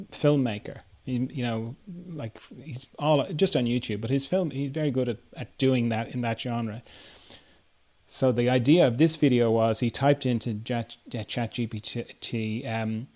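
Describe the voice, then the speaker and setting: A man with a mid-pitched voice hosts a relaxed podcast chat. He talks in a halting, meandering way, working out his thoughts as he speaks. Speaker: podcast host. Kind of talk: casual conversation, reading listener questions.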